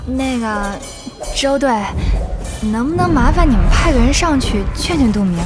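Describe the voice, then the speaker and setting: A harried, pleading woman working an event in an audio drama. Her high voice is deferential and imploring. Music plays clearly underneath. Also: lively intonation, moderate pace. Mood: worried pleading. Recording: music behind.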